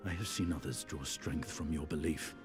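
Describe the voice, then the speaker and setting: A man playing a stern, world-weary warrior in a fantasy game, speaking gravely and formally with measured delivery. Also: a bass voice. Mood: solemn admiration. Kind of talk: scripted fantasy game dialogue. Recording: long reverb, music underneath.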